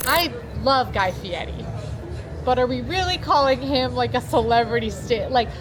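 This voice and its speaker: High-voiced chatty woman, music behind. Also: lively intonation, normal speed. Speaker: co-host reviewing theme park restaurants. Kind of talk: casual review chat. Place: outdoors at a busy shopping and dining area. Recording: music and crowd noise behind, outdoors.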